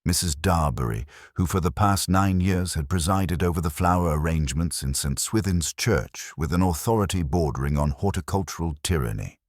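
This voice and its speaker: A man with an extremely low voice narrates a mystery story. His delivery is measured and formal, yet the pitch moves in lively arcs. The sound is dry and close, like a studio audiobook. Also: dry recording.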